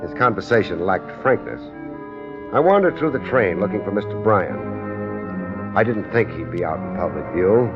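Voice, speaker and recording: An actor playing a wry, hard-boiled private investigator in a 1950s radio drama, with a dry, laconic delivery. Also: male voice, deep voice, animated, normal speed. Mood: wry narration. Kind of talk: 1950s radio detective drama acting and narration. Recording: dull 1950s radio recording, music behind.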